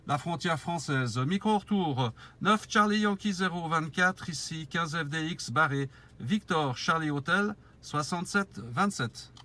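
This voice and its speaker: A man's voice: on the high side, bright, forceful tone. A man talking as a mobile radio operator, cordially trading call signs and signal reports.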